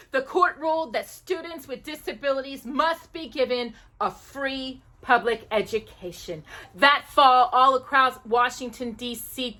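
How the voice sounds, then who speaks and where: A woman hosting a children's storytime, reading aloud with emphatic stresses, dramatic pauses and asides to her listener. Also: high-pitched, pushed and bright.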